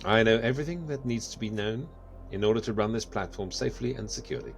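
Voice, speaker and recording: A man's voice: lowish voice, fast talker, creaky, soft background music. A man playing a laconic, formal artificial intelligence in a sci-fi audio drama, matter-of-fact and businesslike.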